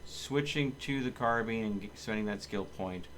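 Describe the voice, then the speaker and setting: Male game streamer, lowish pitch, casual think-aloud commentary addressed to viewers, noticeable background noise. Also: normal speed, a bit bright. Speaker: video game live streamer.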